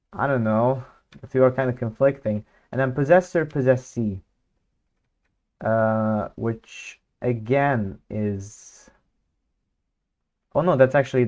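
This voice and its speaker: A man's voice: mid-range voice, darkish tone, lo-fi sound. A young man whose first language is Hungarian, chatting casually on a livestream and thinking aloud, hesitant with many fillers and restarts. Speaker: streamer.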